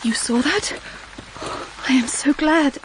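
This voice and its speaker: An actress playing a reserved, earnest young governess with a prim, guarded manner in a period audio drama. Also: female voice, high-pitched, takes it slowly. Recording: clear rain noise behind the voice.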